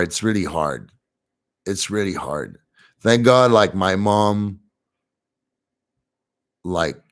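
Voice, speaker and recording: Young guy w a french accent, lowish voice, just hanging out chatting w chat on stream. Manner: relaxed and chatty, often laughing softly. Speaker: livestream host reading chat. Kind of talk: casual livestream chat.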